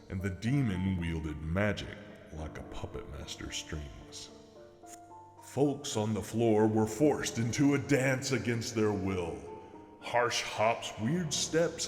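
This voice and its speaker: A man narrates a spooky rhyming poem in a low voice that swings dramatically up and down. His delivery is theatrical and deliberate. A long echoing reverb surrounds him, with faint music underneath. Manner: theatrical, deliberate, measured in rhyme. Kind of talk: dramatic reading of a horror poem. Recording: echoey reverberant hall effect, faint music.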